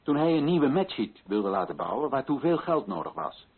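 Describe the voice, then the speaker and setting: A man's voice: mid-range voice, big pitch swings, normal speed, husky. An actor playing an earnest, indignant colonial official in a radio play, pressing his points with insistent rhetorical questions. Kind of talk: Dutch radio play acting. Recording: muffled old radio recording.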